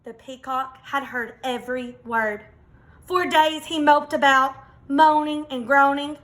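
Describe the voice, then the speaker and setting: A woman reads a picture book aloud for children. The voice is high and bright, the pace unhurried, with expressive, dramatic emphasis. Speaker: teacher reading aloud. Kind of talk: children's storybook read-aloud.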